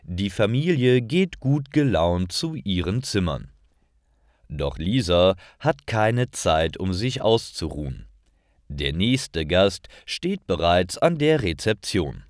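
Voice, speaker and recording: A man narrating scripted stories for language learners with clear, measured enunciation. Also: sits low, very animated, no room sound, clean studio sound.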